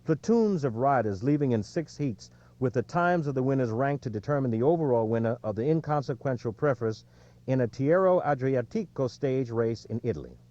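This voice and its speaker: A man reading an audiobook in a measured, clearly scripted storytelling delivery, recorded with slightly lo-fi sound. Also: mid-range voice, lively intonation, mellow. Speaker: audiobook narrator.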